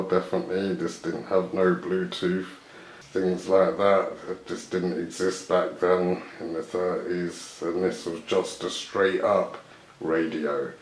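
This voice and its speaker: A man's voice: sits low, a little mellow. A man casually presenting a show-and-tell video, unscripted and tentative, often guessing as he goes. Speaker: video host showing vintage items. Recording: echoey room.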